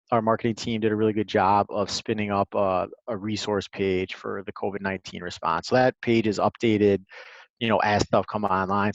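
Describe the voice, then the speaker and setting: A man with a low, creaky voice presents informally on a webinar, as an official explaining programs. His phrasing is loose and conversational. The sound is dry and a little dull, like an online call. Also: no room sound. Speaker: presenter from a state economic development agency. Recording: slightly muffled, lo-fi online call.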